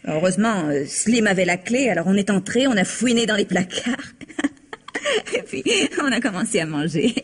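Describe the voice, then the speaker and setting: Husky, naive young maid. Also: female voice, mid-range voice, big pitch swings, moderate pace. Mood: rambling, earnest. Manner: hesitant, rambling, repeats herself, giggly. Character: naive, chatty young country maid, flustered and candid. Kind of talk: French radio mystery drama acting.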